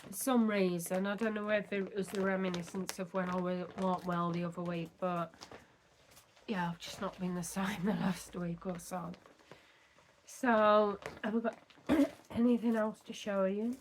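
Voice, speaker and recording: A woman with a slightly croaky voice, chatting in a rambling way about her cross-stitch progress at home. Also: medium pitch, steady tone, normal speed, a little hiss or hum, poor audio. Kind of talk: casual chat showing craft progress. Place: at home at a table.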